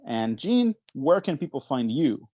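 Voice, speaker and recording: A man hosting a podcast in casual chat, animated and playfully dramatic, often interrupting himself as he moderates a debate. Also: medium pitch, a little slow, no room sound. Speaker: podcast host.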